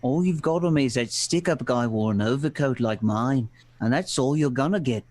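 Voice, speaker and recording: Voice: medium pitch, normal speed, a little mellow, no echo, natural voice. A performer voicing a defiant, surly young man under suspicion in an old-time radio crime play, curt and tough-talking.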